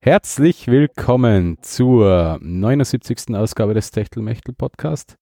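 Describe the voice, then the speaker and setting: A man's voice: mid-range voice, expressive, a little slow, gravelly, mellow, no room sound, soft background music. A man with an Austrian accent hosting a podcast in casual, rambling, jokey chat. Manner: jokey, self-mocking. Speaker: podcast host.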